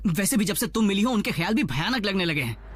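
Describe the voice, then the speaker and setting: A man's voice: sits high, lively intonation, slightly rough. An actor voicing a nervous, talkative sixteen-year-old boy in a cartoon dub. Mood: flustered. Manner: stammering, fast, eager. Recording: dubbed with music underneath.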